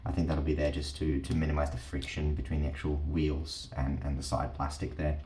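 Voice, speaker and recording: Aussie guy, super low voice, explaining stuff casually, kinda halting, echoey room. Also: monotone, mellow, slight background noise. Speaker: host of a teardown channel. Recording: roomy, echoing sound.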